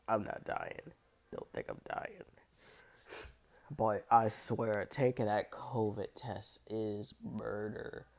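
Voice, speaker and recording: A young man, sick and hoarse, rambling casually in crude slang on his vlog. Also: medium pitch, a little mellow. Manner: rambling, crude slang, laughs and coughs often. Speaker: YouTube voice creator.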